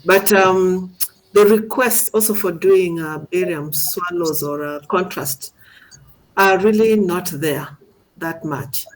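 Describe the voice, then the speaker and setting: A woman's voice: on the low side, slow pace, low-quality recording. Woman teaching in an informal seminar. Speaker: radiology instructor.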